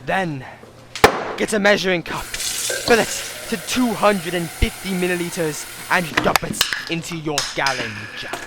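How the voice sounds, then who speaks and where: Creaky-voiced man, folksy and theatrical. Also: sits high, unhurried. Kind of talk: comic instructional narration. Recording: noisy background hiss.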